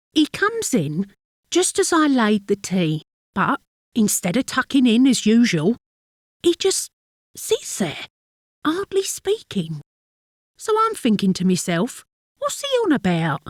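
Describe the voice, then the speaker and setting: An actress playing a chatty working-class London wife in a dramatic monologue, fond and mildly exasperated, confiding in a gossipy, colloquial way with a London accent. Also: female voice, a little high, dry recording, clean studio sound, heavily acted. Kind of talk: dramatic monologue, conversational storytelling.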